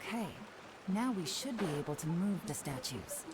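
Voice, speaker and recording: A woman voicing a calm game companion. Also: on the low side, moderate pace, background noise, natural voice. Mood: matter-of-fact.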